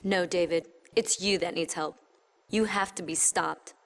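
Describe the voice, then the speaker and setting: An actress playing a principled military major in a game cutscene, speaking firmly and seriously. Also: female voice, fairly low pitch, fairly even intonation, a little slow, slight background noise. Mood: grave, firm. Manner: firm, serious. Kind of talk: dramatic game cutscene acting.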